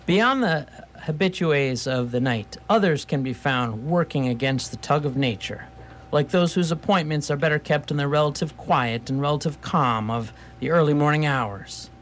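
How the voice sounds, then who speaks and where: A man's voice: fairly high pitch, normal speed, a little hiss or hum. A man narrating a scripted radio theatre story in wry, wordy, literary phrasing.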